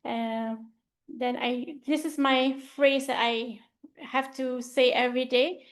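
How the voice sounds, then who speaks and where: A woman's voice: high voice, steady tone, slow pace. A young woman from Malaysia, a medical trainee, presenting a report in a casual, loose way with fillers, talking from slides. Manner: informal, loose phrasing with fillers, speaks from slides.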